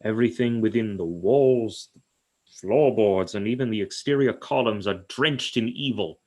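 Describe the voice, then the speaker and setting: A man's voice: on the low side, normal speed, darkish tone, natural voice. A man acting in a radio play as a solemn, haunted psychiatrist who speaks formally and ominously. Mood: ominous, grave. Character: grave, haunted male psychiatrist who fears the supernatural.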